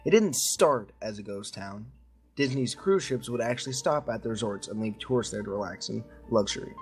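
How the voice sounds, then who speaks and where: A man's voice: lowish voice, lively intonation, brisk. Man reading a story over music. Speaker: story reader. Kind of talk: reading a creepy story aloud among friends.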